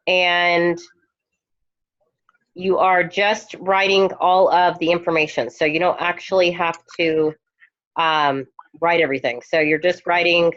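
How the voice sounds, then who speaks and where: Hoarse woman teacher with a low voice. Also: a bit bright. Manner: hesitant, many ums. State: losing her voice. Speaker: instructor. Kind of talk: online course lecture. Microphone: headphone mic. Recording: dull lo-fi recording.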